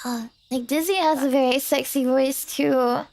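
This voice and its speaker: Woman, high voice, gravelly, rambling casual chatter, joking. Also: fairly even intonation, normal speed, darkish tone, no echo. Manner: rambling, joking, lots of fillers. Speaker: co-streamer. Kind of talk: casual chat.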